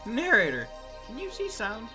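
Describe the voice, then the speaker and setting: A woman's voice: on the high side, wildly swooping pitch, normal speed, over music. A woman playing a theatrical, sarcastic scold who berates people in a comic audio drama. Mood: accusing, scornful. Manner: accusing, melodramatic, sarcastic.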